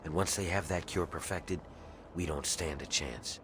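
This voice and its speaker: An actor playing a grim, embittered slave rebel in a video game, gruff and conspiratorial as he schemes for freedom. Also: low-pitched, moderate pace, close to an everyday voice. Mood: grim, determined. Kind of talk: video game character dialogue. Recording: clear ambient noise behind voice.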